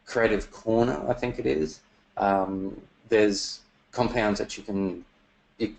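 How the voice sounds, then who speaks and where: A man presenting software features in a webinar, talking casually with many fillers, his voice getting worn and losing strength. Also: lowish voice, takes it slowly, low-quality recording. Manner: casual, many fillers and restarts, throat clearing.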